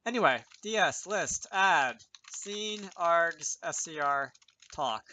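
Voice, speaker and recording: A man's voice: a little high, expressive, slow, bright, forceful tone, a little hiss or hum. A man presenting an informal programming tutorial, thinking aloud with self-deprecating asides as he explains. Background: keyboard typing and mouse clicks.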